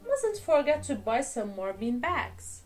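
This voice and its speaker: A woman's voice: a little high, very animated, unhurried, darkish tone, faint music behind. A woman voicing a patient but exasperated father in a children's storybook, explaining things with pleading emphasis. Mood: coaxing. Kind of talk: storybook character voice.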